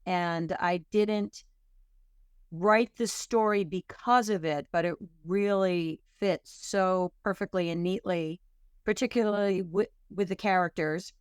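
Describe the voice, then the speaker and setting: Slow, smooth-voiced woman guest. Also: fairly low pitch, fairly even intonation, dry recording, studio quality. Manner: thoughtful, pauses and restarts mid-sentence. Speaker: guest author. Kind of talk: casual interview talk.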